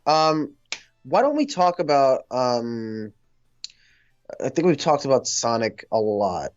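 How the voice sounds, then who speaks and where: A man hosting a casual film discussion, speaking in a hesitant, halting way. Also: medium pitch, sing-song, dull audio, faint music behind.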